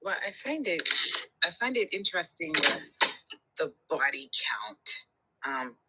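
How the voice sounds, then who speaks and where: A woman's voice: deep voice, sing-song, a little slow, heavy background noise. A middle-aged woman from Trinidad calling in as a guest, chatting frankly and often checking that listeners agree. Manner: candid, conversational, seeking agreement. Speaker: call-in guest. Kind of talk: casual frank chat.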